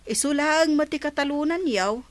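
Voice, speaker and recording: An actress playing a chatty, knowing village gossip in a radio comedy drama. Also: female voice, high-pitched, moderate pace, dry recording, natural voice. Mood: confiding.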